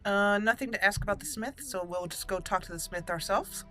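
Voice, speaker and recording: A woman's voice: mid-range voice, expressive, fairly quick, fairly bright tone, faint music behind. A woman giving casual commentary as she plays and roleplays through a fantasy video game. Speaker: gaming channel host, player. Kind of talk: casual let's play commentary with in-character roleplay.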